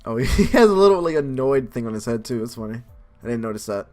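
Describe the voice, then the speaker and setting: A man's voice: medium pitch, expressive, quick pace, darkish tone, faint music behind. A man hosting a gaming video, reading game text aloud and adding casual commentary.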